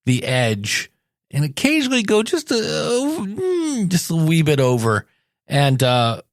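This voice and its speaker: A man's voice: mid-range voice, no room sound, studio quality. A man in his fifties hosting a podcast, talking casually and candidly in an anecdotal monologue. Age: 50s. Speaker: podcast host.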